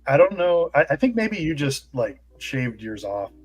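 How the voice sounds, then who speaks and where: A man in his thirties co-hosting a casual livestream over a video call, teasing and relaxed. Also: fairly high pitch, normal speed, husky, soft background music. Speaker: co-host.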